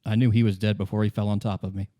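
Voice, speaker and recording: A man's voice: fairly low pitch, flat delivery, racing, warm tone, no echo, lightly acted. Guy doing tired guarded woman for an rpg, subdued, hesitant, kinda reluctant, dry close sound. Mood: confessional. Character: guarded, weary woman confessing her secrets, smoking.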